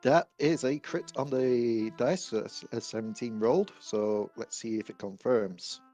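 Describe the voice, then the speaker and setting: A woman's voice: rumbling low, unhurried, warm tone. A woman talking casually as a player in a tabletop roleplaying game. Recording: music under the voice. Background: instrumental music.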